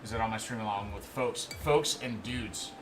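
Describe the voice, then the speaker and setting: A man in his thirties hosting a livestream in a relaxed, rambling way, chatting and reading out viewer comments. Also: fairly low pitch, normal speed. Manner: relaxed, rambling, answers chat as he goes. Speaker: livestream host. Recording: steady background noise.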